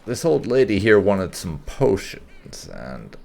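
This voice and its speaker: A man hosting a casual video game playthrough, haltingly thinking aloud as he comments. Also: low voice, huge pitch swings, creaky, warm tone. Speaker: gaming channel host. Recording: game ambience faintly behind commentary.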